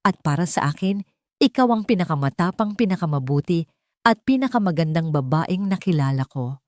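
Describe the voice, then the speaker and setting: Female reader playing tender rich man, romance audio drama, slightly low for a woman, emotional, intimate, earnest. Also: animated, no echo, studio quality, close to an everyday voice. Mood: loving, intimate. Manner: slow, emotional, intimate delivery. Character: earnest, tender wealthy man confessing hidden love.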